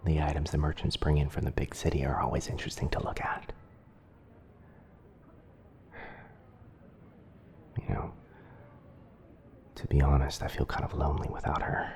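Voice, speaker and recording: A man playing a gentle young wizard boyfriend in an ASMR roleplay, speaking softly and often near a whisper with a tender, hesitant manner. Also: very deep voice. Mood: wistful. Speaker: roleplay audio performer.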